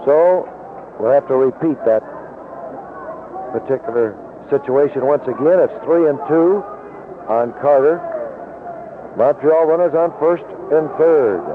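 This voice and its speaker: A man calling baseball play-by-play for radio in clipped, descriptive phrases with pauses between plays. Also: a little high. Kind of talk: live sports commentary. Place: outdoor ballpark broadcast booth. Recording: noisy narrow-band 1970s AM radio broadcast.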